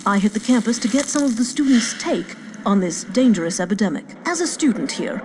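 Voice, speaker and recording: An actress playing a sensational TV news reporter in a parody broadcast within a game. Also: female voice, a little high, animated, a little fast, a little mellow, slight background noise, lightly acted.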